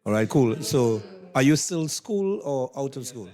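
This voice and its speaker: A man's voice: medium pitch, lively intonation, measured pace, darkish tone. A man with a Trinidadian accent hosting a casual interview as the one asking questions. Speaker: interviewer and host.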